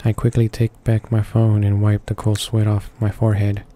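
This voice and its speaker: Male gaming host, read-along narration. Very flat, dark lowish voice, stumbles over words. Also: normal speed. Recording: faint music behind the voice. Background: game music.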